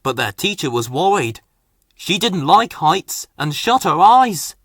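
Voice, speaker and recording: Animated, bright-voiced male storybook narrator. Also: a little high, measured pace, dry recording, clean studio sound. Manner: expressive, dramatic read-aloud. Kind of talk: scripted children's storybook narration.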